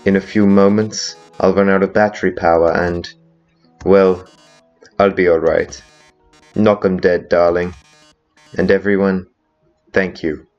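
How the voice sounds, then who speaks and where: Guy doing suave theatrical game robot, real deep n slow, kinda muffled recording. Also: level tone. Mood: weary, theatrical. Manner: slow, theatrical farewell delivery. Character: suave theatrical dying showbiz robot, flamboyant male performer. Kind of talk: fan voice-over of a video game. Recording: dull lo-fi audio with music behind. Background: music.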